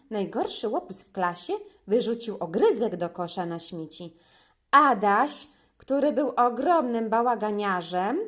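A woman narrating a scripted children's story as a storyteller. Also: medium pitch.

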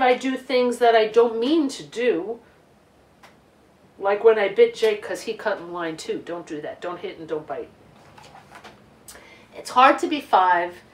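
A middle-aged woman reading a picture book aloud to children in an expressive, deliberate way with emphasized words. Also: medium pitch. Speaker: children's storytime reader. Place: living room couch, indoors. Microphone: camera a few feet away. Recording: roomy home recording, distant microphone.

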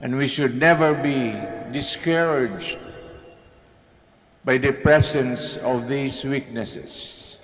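An older man with a Filipino accent, a priest giving a homily at Mass, speaking with slow, deliberate pauses into a lectern microphone. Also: mid-range voice. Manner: slow, deliberate preaching with pauses, switching into Tagalog. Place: large church, at an altar lectern. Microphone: lectern microphone on a stand. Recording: echoey church hall.

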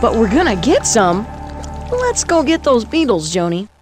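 High, very animated plucky boy. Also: normal speed. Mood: eager. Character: plucky, eager adventurer boy. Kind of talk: children's computer game cartoon acting. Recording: music underneath.

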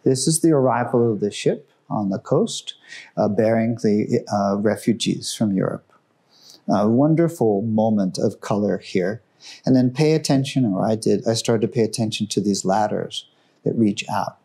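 A man giving an informal, enthusiastic talk about artworks in a museum room, growing hushed and solemn at the tragic pieces. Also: on the low side, moderate pace. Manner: enthusiastic, conversational, drops his voice for solemn works. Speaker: museum guide presenting artworks. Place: museum gallery room, indoors.

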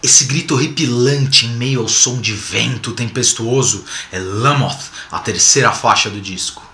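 A man's voice: mid-range voice, pushed and bright. A man of about forty with a Brazilian Portuguese accent, hosting a video commentary to camera in an enthusiastic, theatrical manner that addresses viewers directly. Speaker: YouTube channel host. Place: small home room with bookshelves, indoors. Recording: roomy, echoing home recording.

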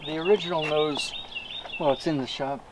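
A man giving a casual tour of his cars, explaining engines in a relaxed, matter-of-fact way with the odd chuckle. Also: on the high side, moderate pace, background noise. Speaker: upholsterer showing his cars. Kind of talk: casual shop tour chat.

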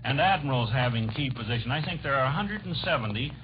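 A man's voice: medium pitch, animated, fast talker. An American man arguing earnestly as a panelist in a 1940s radio political debate. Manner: earnest, argumentative. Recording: noisy, muffled 1940s radio recording.

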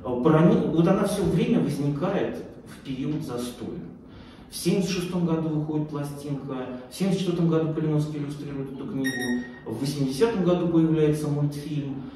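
A man's voice: a little high, a little fast, warm tone. A middle-aged man giving an informal lecture, talking off the cuff and hesitantly searching for words. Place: small indoor lecture room, far camera. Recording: echoey room, distant mic, faint noise.

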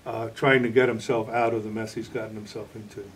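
A man's voice: lowish voice, flat delivery, fairly quick. Older man interviewed, slightly distant mic. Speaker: novelist and playwright being interviewed. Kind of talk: interview answers.

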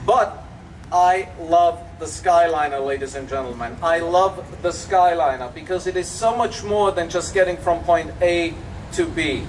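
A high-voiced man with an Austrian German accent gives an emphatic, enthusiastic dedication speech outdoors. He is picked up from a distance, with faint background noise under his voice. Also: unhurried. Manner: enthusiastic, emphatic, with frequent fillers. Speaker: resort executive giving a dedication speech. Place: outdoors at a public event.

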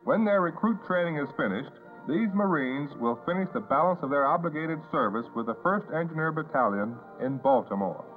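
Male American newsreel narrator, 1950s; polished announcer delivery, higher-set voice, thin old soundtrack with music. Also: animated, fairly quick, few pauses. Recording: tinny band-limited 1950s newsreel soundtrack.

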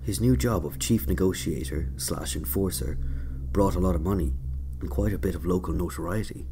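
A man's voice: sits low, moderate pace, gravelly, background noise. A man narrating a scripted autobiographical audio drama. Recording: prominent music under narration.

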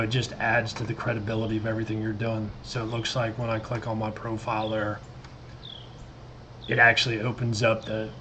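A middle-aged American man giving a casual, explanatory screen-share software tutorial. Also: on the low side, noisy background. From United States. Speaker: tutorial presenter. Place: indoors at a computer desk. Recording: loud guitar music behind the voice. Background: loud guitar music.

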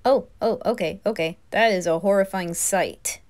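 A woman's voice: medium pitch, moderate pace, a little mellow, close to an everyday voice. A woman voicing nervous, skeptical young anime lads, good-hearted and casual, with a hesitant, exasperated manner. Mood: uneasy. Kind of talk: anime fan roleplay ASMR.

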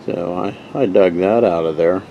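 A man casually telling the story of the old camping gear he found, rambling with frequent pauses and restarts. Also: sits low, gravelly, highs cut off, a little hiss or hum. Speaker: vintage camping gear collector showing finds. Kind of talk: casual show-and-tell narration.

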